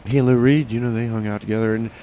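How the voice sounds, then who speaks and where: A man's voice: on the low side, fairly even intonation, racing, warm tone, slight background noise, low-quality recording. A man hosting a community radio music show, talking casually in a rambling, hesitant way with many fillers and restarts. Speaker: radio DJ host.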